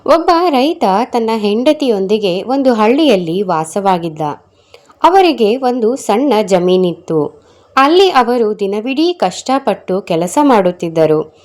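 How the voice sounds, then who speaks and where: A woman narrating a scripted moral story for children in a measured, expressive storytelling manner. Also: medium pitch.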